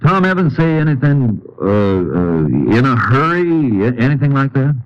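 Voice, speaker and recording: A man's voice: mid-range voice, big pitch swings, moderate pace, lightly acted. An American man playing a laconic, determined Texas Ranger in a 1950s radio drama, dry, clipped and folksy. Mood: matter-of-fact. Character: laconic, determined Texas Ranger investigator, narrating his case. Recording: muffled old-time radio recording.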